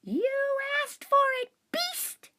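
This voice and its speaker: Woman doing a squeaky little hamster. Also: huge pitch swings, takes it slowly, sharp tone, full character voice. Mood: squeaky, urgent. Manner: squeaky, high and dramatic. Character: small excitable male classroom hamster. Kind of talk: children's storybook reading.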